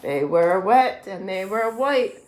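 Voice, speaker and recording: A woman reading a children's picture book aloud in an expressive, deliberate storytelling manner. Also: medium pitch, roomy sound, slight background noise. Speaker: story-time reader, adult with a young child.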